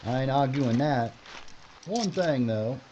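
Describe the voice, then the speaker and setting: A man playing a gruff frontier marshal. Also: medium pitch, expressive, takes it slowly, warm tone, slight background noise. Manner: gruff, sardonic. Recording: dull lo-fi audio.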